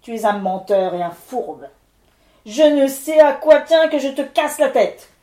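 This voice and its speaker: A storybook wind spirit lord, male and irascible, thunders his threats and scolds, put on by a woman reader. The voice is raised, loud and pitched high. It rings in a roomy, echoey space. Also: brisk, lightly acted. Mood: furious. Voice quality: booming, thundering. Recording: roomy echo.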